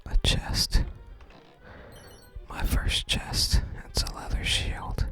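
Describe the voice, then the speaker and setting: A person whispering in a soft, breathy, unhurried ASMR style while narrating a video game and reading its text aloud. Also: faint music behind. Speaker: ASMR gaming video host.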